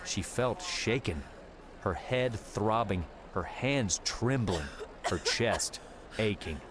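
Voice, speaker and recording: A man's voice: fairly low pitch, lively intonation, slow, background noise. A man reading an audiobook in a dramatic storytelling style. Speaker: audiobook narrator. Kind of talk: dramatic scripted narration. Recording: music under the voice. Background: music.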